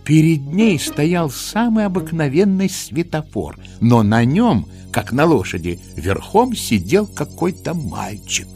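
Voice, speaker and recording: A man narrating a children's story in an expressive, theatrical and playful way, with rhetorical asides. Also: a little high, measured pace, few pauses. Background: music.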